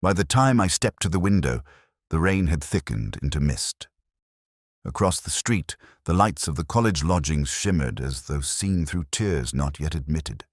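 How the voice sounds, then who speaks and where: A man performs a weary older detective telling his story in an audio drama. The voice sits extremely low and is recorded very dry and close, with no room around it. The delivery is measured, brooding and literary, full of quiet melancholy. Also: animated, no echo. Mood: brooding, reflective. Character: weary, melancholy older male Oxford police detective narrating. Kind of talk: literary first-person audio drama narration.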